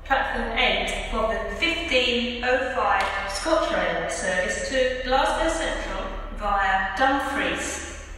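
A woman's automated railway announcement voice, with measured, evenly spaced delivery, played over a station PA and recorded from a distance on the platform. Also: a little high, lively intonation, fairly bright tone, a little hiss or hum. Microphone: phone or camera recording a PA speaker from afar. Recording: echoey station PA heard from far off. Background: station ambience.

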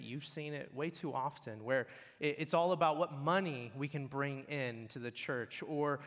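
A man's voice: on the high side, fairly bright tone. A man preaching a sermon in an earnest, blunt way that builds in intensity. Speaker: pastor. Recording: long hall reverb.

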